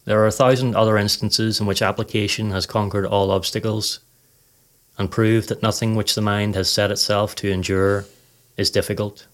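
A man narrating a scripted guided meditation in a calm, measured, soothing way. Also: lowish voice.

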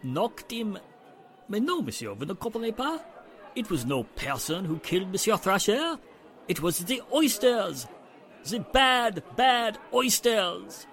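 A high, animated male voice with a French accent: an anxious, apologetic café manager in a radio drama. He is formal and deferential, dropping French phrases as he goes. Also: unhurried, some chatter behind, heavily acted. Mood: insistent, distressed. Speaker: restaurant manager character.

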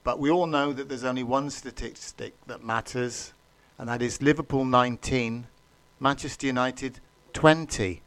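A man's voice: mid-range voice, fairly even intonation, normal speed, fairly bright tone, dry recording. A man co-hosting a football podcast, mock-dramatic and self-deprecating. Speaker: podcast co-host. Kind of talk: casual football chat.

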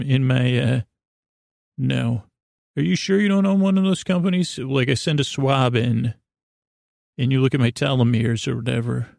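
A man with a gravelly, fry-laden voice hosts a sleep podcast in a dry, close recording. He meanders through a comedic monologue, stopping and drifting off mid-thought. His pitch sits in an ordinary male range. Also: a little mellow, no room sound. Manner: meandering, halting, easily distracted, trailing off. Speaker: podcast host. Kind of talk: rambling comedic bedtime monologue.

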